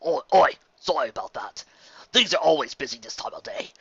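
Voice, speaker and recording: A man voicing a fat old stallion bartender in a storybook, with a deep brassy, folksy, hospitable manner. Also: sing-song, brisk, fairly bright tone, breathy edge, no echo, highs cut off, exaggerated voice. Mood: busy, welcoming. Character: corpulent old stallion bartender, gruff but welcoming.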